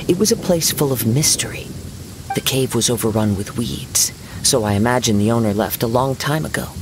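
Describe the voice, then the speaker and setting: A man voicing a gentle, serene young wandering poet swordsman in a game, with measured, formal, poetic phrasing. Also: medium pitch, close to an everyday voice, rough recording. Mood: reflective, wistful. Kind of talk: scripted game dialogue, storytelling. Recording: steady rain noise behind voice.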